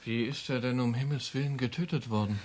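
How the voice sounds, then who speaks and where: A man's voice: medium pitch, fairly even intonation, a little fast, a little mellow, natural voice. An actor playing an evasive young American impostor in a German radio crime drama, polite and hesitant in his answers. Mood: nervously obliging. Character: evasive young American man posing as another, outwardly obliging.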